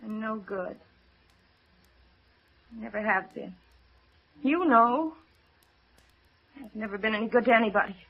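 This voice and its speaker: A woman's voice: fairly high pitch, expressive, unhurried, long pauses. An actress playing a distraught, despairing young woman on the edge, with fragile, bitter delivery in 1950s radio drama style. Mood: weary despair. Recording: dull lo-fi old radio recording.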